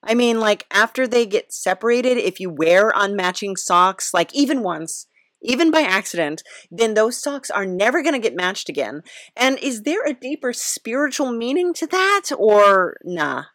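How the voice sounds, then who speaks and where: A woman's voice: mid-range voice, sing-song, moderate pace, a bit bright, no room sound, clean studio sound. A woman hosting a podcast interview in a casual, playful, rambling way, often hesitant and giggly.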